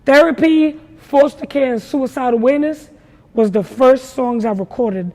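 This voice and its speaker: A woman in her twenties with a naturally raspy voice, a rapper talking emphatically about her life in a casual interview. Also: high-pitched, normal speed, mellow, long reverb tail. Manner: emphatic, storytelling, repeats "let me tell you something". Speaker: rapper guest.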